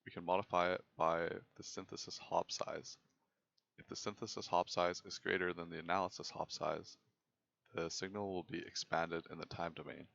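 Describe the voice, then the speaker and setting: A young man giving scripted technical narration as a presenter, in a measured, formal way. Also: deep voice, vocal fry, fairly bright tone, dull audio. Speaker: presenter of a student software project.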